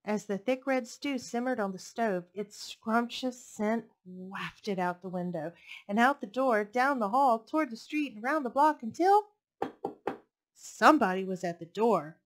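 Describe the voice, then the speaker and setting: Animated young woman reading to children. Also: fairly high pitch. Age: young adult. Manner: animated, deliberate phrasing for young listeners. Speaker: library storytime host reading picture books. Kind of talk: expressive children's story read-aloud. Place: indoors, furnished home room. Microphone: headset microphone.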